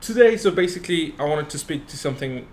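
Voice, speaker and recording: A man from Bangladesh interviewing a guest casually, often saying "yeah" and pausing on "um". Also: a little high, animated, slight background noise. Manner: frequent "yeah" backchannels, hesitant with fillers. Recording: faint music behind.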